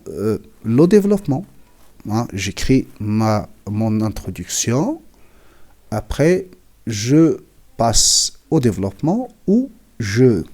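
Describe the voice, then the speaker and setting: A man teaching writing, slowly defining and repeating words, with a cold that makes him clear his throat. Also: mid-range voice, dark tone. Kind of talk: slow classroom explanation.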